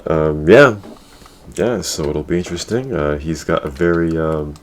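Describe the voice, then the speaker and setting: A man's voice: a bass voice, moderate pace. A man hosting a casual gaming talk show, talking in a crude, slangy way and repeating his catchphrases. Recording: clear background noise.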